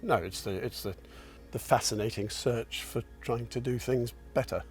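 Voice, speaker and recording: A man in his sixties answering interview questions in a reflective, modest way, pausing and restarting as he thinks. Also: mid-range voice, soft background music. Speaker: company founder and chairman.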